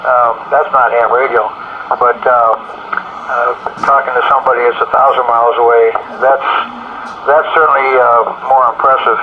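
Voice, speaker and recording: Over a dull, noisy two-way radio comes an older man with a middling pitch. He is an amateur operator chatting casually, rambling along and halting often as he goes. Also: little pitch movement. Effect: heard over two-way FM radio.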